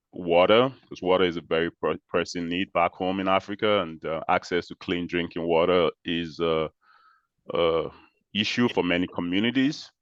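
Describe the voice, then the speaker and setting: A man from Nigeria speaks with a very low, smooth and resonant voice, laying out his story in a measured, explanatory way. The sound is dry and a little dull, as over a video call. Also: no echo. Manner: measured, explanatory, with frequent pauses and restarts. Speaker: interview guest, social entrepreneur. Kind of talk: conversational interview answers.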